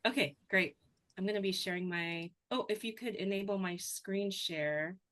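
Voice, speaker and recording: A woman from Hawaii giving an earnest webinar talk as a cultural trainer, speaking softly with frequent ums. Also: mid-range voice, moderate pace, no echo. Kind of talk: webinar presentation. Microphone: video call.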